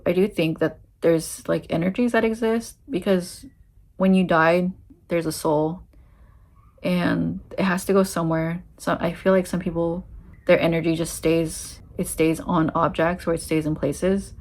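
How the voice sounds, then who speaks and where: Rambling American woman, low voice. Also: fairly even intonation, unhurried, a little mellow. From United States. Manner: rambling, many fillers and restarts. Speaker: vlogger answering viewer questions. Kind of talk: casual Q&A chat. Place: her home in Japan.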